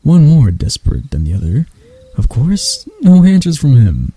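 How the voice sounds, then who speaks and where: A man playing a proud, mocking elf royal in an ASMR roleplay, speaking in a soft, breathy, husky voice with dramatic pauses. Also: on the high side, huge pitch swings, dry recording, full character voice. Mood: bitter, aggrieved.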